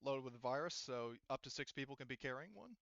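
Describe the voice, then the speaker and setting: A man acting as game master for a tabletop roleplaying game, narrating casually and haltingly as he thinks aloud. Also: mid-range voice, lively intonation, gravelly, fairly bright tone, no echo, highs cut off.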